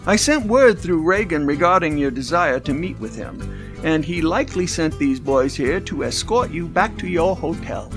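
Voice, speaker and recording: High-pitched, blustery 1920s football coach played by a man. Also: animated, moderate pace, music in the background, close to an everyday voice. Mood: brisk. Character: gruff, wry, old-fashioned 1920s football coach. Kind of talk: 1920s period audio drama acting.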